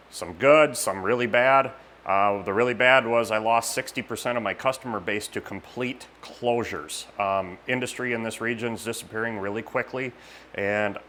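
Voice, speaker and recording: A man giving a casual update to camera as a machine shop host, plain-spoken and halting with pauses. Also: on the low side, a bit bright, slight background noise. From United States.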